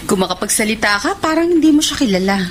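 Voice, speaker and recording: An actress playing a supportive, teasing young best friend in a radio drama. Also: female voice, a little high, lively intonation, fast, natural voice. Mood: sympathetic. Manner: teasing, sympathetic. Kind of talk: Filipino radio drama acting. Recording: noisy radio drama recording.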